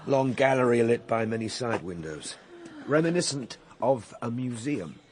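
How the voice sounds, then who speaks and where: An actor playing a reflective Victorian gentleman recounting his ordeal, in measured, formal radio drama narration. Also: mid-range voice, lively intonation, slight background noise, close to an everyday voice. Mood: observant, curious.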